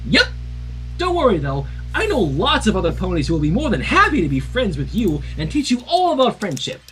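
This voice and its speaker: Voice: medium pitch, huge pitch swings, fairly quick, fairly bright tone, a little hiss or hum, poor audio. A reader voicing a brash, protective young male dragon with a sly vengeful streak in a dramatic story reading. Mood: friendly, reassuring. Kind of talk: dramatic fan fiction reading.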